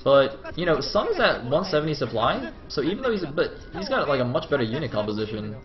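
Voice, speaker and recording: A man's voice: fairly high pitch, very animated, brisk. A man giving live play-by-play commentary on a strategy game, casually thinking aloud as he goes. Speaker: esports commentator. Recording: dull lo-fi audio over crowd noise.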